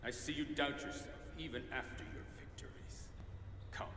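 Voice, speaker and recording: A man acts a calm shaman warrior in a game cutscene, earnest and formal. The voice is mid-pitched, in an echoing hall with faint noise. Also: moderate pace, lightly acted. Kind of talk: video game cutscene acting.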